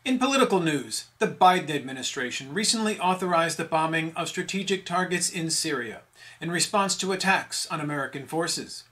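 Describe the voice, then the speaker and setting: High-pitched man reading mock news. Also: animated, brisk, pushed and bright. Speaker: satirical news anchor. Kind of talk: mock news bulletin, scripted.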